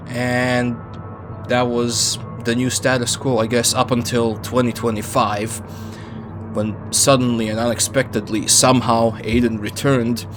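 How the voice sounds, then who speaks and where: A man narrating a casual, opinionated video essay in an informal, wry way with joking asides and some swearing. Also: medium pitch. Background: background music under the voice-over.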